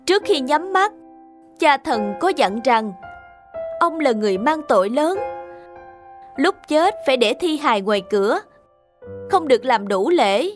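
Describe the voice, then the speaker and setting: Woman voicing a high, solemn courtier's son. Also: sing-song, unhurried, pauses often. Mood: sorrowful, solemn. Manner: formal, deferential. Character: solemn, humble grown son of a royal minister. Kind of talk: historical cartoon dubbing. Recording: music behind the voice.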